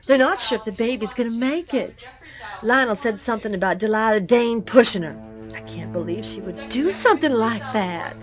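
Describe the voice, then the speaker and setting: An actress playing a worried, emotional grown daughter in an audio soap opera. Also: female voice, a little high, expressive, moderate pace, faint music behind, close to an everyday voice.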